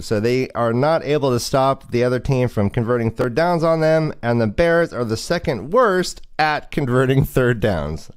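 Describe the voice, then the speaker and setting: A man with a clean, resonant voice at a middle pitch hosts a casual fantasy football show. He talks in a chatty way, running through points and turning to others with questions. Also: smooth. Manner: chatty, asks co-host questions. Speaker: livestream host.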